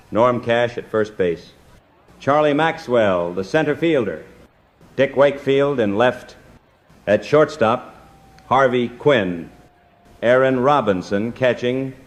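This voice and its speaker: A man doing baseball play-by-play commentary as the announcer, in measured, clipped phrases. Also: mid-range voice.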